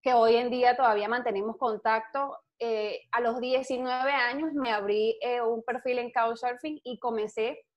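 A young woman from Venezuela with a Venezuelan accent, a guest telling stories in an outgoing, animated way and laughing easily. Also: on the high side, normal speed, hardly any pauses. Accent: Venezuelan Spanish. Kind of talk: casual interview chat. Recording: dull lo-fi sound.

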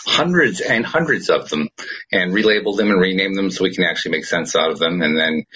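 A man's voice: low-pitched, expressive, fairly quick, pushed and bright, husky, rough recording. A man hosting a podcast in casual chat, chatty and laughing often. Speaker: podcast host.

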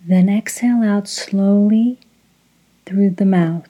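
A woman leading a guided meditation in a soft, breathy voice, giving measured instructions in short phrases with pauses. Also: medium pitch, low-quality recording. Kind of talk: slow guided meditation with recitation.

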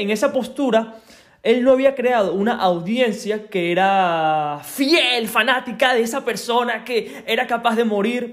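A young man with a Venezuelan accent hosting a solo podcast, talking conversationally with frequent tag questions and pauses. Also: sits high. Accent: Venezuelan Spanish. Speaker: podcast host.

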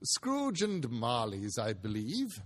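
Man playing a courteous, portly charity gentleman. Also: mid-range voice, wildly swooping pitch, in a large hall, voice pushed down, heavily acted. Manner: polite, formal, measured. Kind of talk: dramatic storybook reading.

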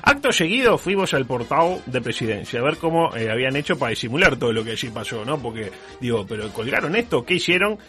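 A man's voice: medium pitch, sing-song, fast, few pauses, pushed and bright, faint music behind. Uruguayan man hosting, ironic and chatty. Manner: ironic, rambling. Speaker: radio show host. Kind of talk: humorous news commentary, casual chat.

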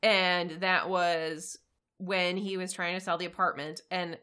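A woman's voice: fairly low pitch, flat delivery, measured pace, bright, forceful tone, clean tone. A woman recapping and discussing casually as a podcast co-host, conversational and often checking for agreement. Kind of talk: casual episode recap chat.

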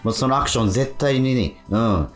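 A man's voice: medium pitch, a little fast. A man hosting a radio show in casual chat, talking in an encouraging way with frequent interjections. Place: radio studio. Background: music.

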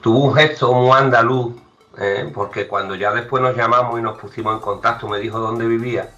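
A man telling an anecdote in an animated way, acting out the lines of dialogue as he goes. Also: mid-range voice, fairly quick. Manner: animated storyteller who acts out dialogue. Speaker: guest. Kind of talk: casual anecdote. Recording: slightly dull lo-fi sound.

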